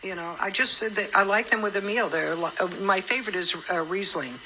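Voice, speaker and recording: A woman's voice: medium pitch, brisk, bright, forceful tone, rough recording. A woman co-hosting a morning radio show, chatting casually and jokingly as she interviews a guest. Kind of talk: casual live interview chat.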